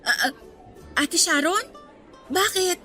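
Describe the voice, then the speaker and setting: A woman playing a good-natured, teasing young college student in a radio drama, girlish and expressive. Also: extremely high pitch, slow pace, faint music behind, exaggerated voice. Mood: obliging. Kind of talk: Filipino radio drama acting.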